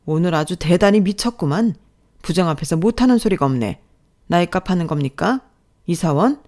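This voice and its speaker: A man's voice: a little high, expressive, moderate pace. A woman voicing an abusive male department manager in a story, barking sarcastically and belittling an employee. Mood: indignant. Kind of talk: dramatized audio story dialogue.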